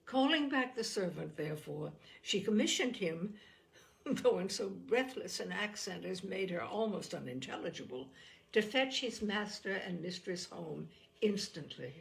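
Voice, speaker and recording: A woman reading literary fiction as an audiobook narrator, with measured, dramatic phrasing and expressive delivery. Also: mid-range voice, vocal fry, low-quality recording.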